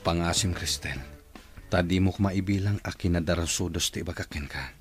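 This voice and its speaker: An actor with a Filipino accent playing an earnest employer and father, gently courting, in a radio drama. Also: sits low, brisk, soft background music, close to an everyday voice. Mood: romantic, earnest. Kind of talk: Ilocano radio drama acting.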